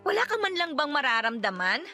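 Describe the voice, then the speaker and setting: Hurt, clinging wife for radio drama; high, tense voice, pleading and emotional. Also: female voice, very animated, fairly quick, bright, forceful tone, faint music behind, full character voice. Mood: wounded, upset. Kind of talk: radio drama dialogue in Tagalog.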